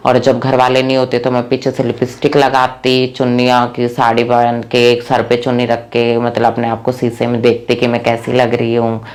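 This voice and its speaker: A woman's voice: a bass voice, monotone, moderate pace, hardly any pauses, darkish tone. A young adult woman from Haryana, telling her life story in a plain, earnest way with many fillers. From Rohtak, Haryana, India. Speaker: interviewee. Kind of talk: personal life story told to camera.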